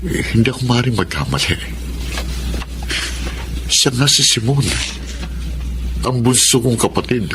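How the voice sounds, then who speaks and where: An older man acting a gentle, wistful, wealthy widowed father in an earnest, measured Tagalog radio drama style. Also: medium pitch, very animated, background noise. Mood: solemn, regretful.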